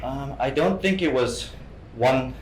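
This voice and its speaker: A man's voice: mid-range voice, slow pace. A young man from Hong Kong, a guest actor, answering interview questions casually and often repeating words and restarting his sentences. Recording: roomy sound with faint noise.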